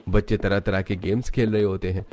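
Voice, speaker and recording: Male narrator for scripted cartoon tale: slightly low pitch, faint background noise. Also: little pitch movement, a little fast, darkish tone.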